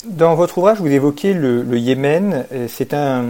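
Mellow male interviewer. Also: fairly high pitch, lively intonation, moderate pace. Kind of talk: conversational interview questioning.

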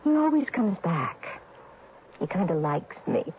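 Casting: coy young party wife, lowish lilting voice, tinny vintage radio with slight noise. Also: female voice, sing-song, unhurried. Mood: carefree. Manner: coy, breezy, slangy. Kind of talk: 1960s radio drama acting.

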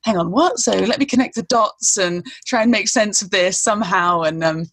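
A woman's voice: mid-range voice, normal speed, fairly bright tone. A woman with a British accent hosting a casual podcast conversation, chatty and swearing offhandedly. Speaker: podcast host.

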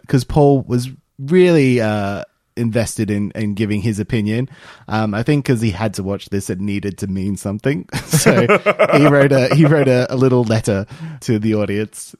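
A young man hosting a podcast, telling a story in a halting, casual way and laughing between phrases. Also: lowish voice, darkish tone, no echo, studio quality. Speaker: podcast host.

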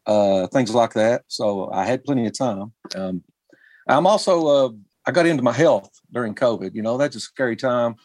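A man's voice: mid-range voice, darkish tone, dry recording. Hesitant middle-aged male guest, dry close sound, from Kentucky. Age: 40s. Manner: halting, many ums and restarts. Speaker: musician guest. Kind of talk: casual interview chat.

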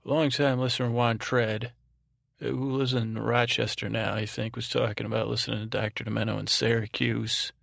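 A man's voice: medium pitch, moderate pace, creaky, no room sound, studio quality. A man hosting a bedtime podcast in a soothing, soporific drone, meandering and stumbling through his monologue as he interrupts himself. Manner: droning, monotone. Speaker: podcast host.

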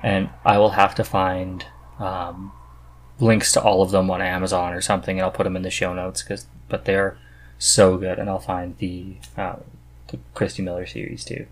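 Guy w a lowish voice hosting a chatty podcast, earnest and into it. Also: level tone, normal speed. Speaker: podcast host. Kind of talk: casual podcast conversation.

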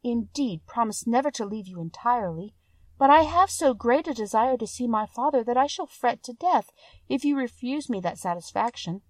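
A woman's voice: on the high side, expressive, moderate pace, darkish tone, dry recording, pitched above the performer's own voice, poor audio. A woman voicing a kind, timid young woman in a fairy tale, with an earnest, polite and formal manner. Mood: regretful, sincere. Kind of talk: storybook character voice.

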